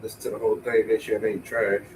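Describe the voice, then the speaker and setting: A man thinking aloud in a relaxed, rambling way as he paints, sounding stuffy and tired with a cold. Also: on the low side, quick pace, recorded from a distance, a little hiss or hum, poor audio. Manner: laid-back, rambling, thinking aloud, swears casually. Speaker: painter streaming while painting. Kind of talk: casual talk to himself while painting.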